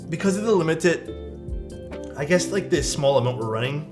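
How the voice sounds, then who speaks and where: A young man, chatting casually as a livestream host, excitable and rambling with lots of "like" and "dude". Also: fairly high pitch, moderate pace. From Canada. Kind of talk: casual livestream chat, reading chat messages. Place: his room at home. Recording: speech over background music. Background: background music.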